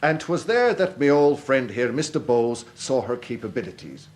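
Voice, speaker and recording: Actor as a boastful old Irish captain, very animated. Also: male voice, medium pitch, moderate pace, lightly acted. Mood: boastful reminiscing. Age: older. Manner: florid, expansive, proud. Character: boastful, effusive old Irish army captain and fencing master. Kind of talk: period radio drama acting.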